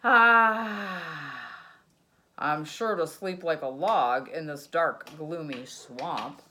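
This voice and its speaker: Sleepy grumpy male bear from a picture book, done by a woman reader; slow, loud, drawn-out lines. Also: low-pitched, heavily acted. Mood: weary, grumpy.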